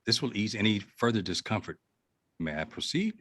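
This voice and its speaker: A man playing a calm, reassuring medic in an amateur science fiction table read. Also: fairly low pitch, moderate pace, breathy edge, no echo, full character voice.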